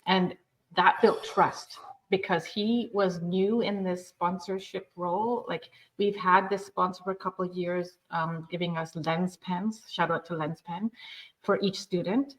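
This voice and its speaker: A woman from Uganda speaking on a nonprofit panel, telling a story in an earnest, lively way. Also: fairly low pitch, a little slow. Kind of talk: panel discussion. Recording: dull lo-fi sound.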